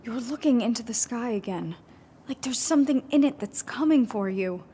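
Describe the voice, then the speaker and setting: A woman's voice: fairly high pitch, lively intonation, moderate pace, mellow, slight background noise, lightly acted. A woman playing an anxious, weary and insistent woman sculptor in a theatrical, pleading radio horror drama. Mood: uneasy.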